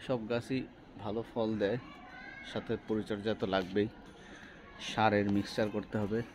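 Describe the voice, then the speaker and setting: A man's voice: on the low side, steady tone, normal speed. Bangladeshi man musing over outdoor noise. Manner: musing aloud, questioning. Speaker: vlogger. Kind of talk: casual spoken commentary while filming plants. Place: outdoors on a rooftop garden. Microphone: handheld phone behind the camera. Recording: phone recording with clear outdoor ambient noise.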